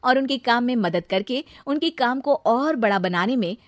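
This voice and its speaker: A woman's voice: on the high side, animated, normal speed, no room sound. A woman storyteller reading scripted narration for a short comic moral story.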